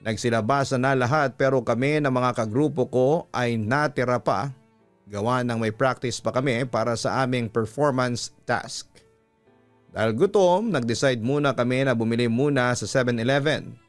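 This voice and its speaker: A man's voice: medium pitch, moderate pace, dry recording. A man with a Filipino accent reading a listener's letter as a radio-style storytelling narration. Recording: music playing behind the voice. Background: background music.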